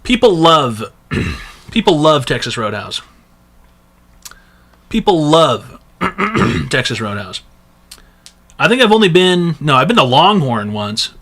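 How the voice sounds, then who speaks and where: A man hosting a live call-in advice stream, brash and crude, hoarse from losing his voice. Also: on the high side, very animated, slow pace, pauses often, fairly bright tone. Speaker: host.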